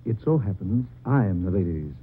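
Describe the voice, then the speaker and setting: An actor playing a gallant young boyfriend with an upper-class British accent in an old-time radio drama, breezy and theatrical. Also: male voice, low-pitched, lively intonation, fairly quick, slight background noise. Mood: teasing, gallant. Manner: breezy, theatrical, affectedly chivalrous. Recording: tinny old radio recording, muffled.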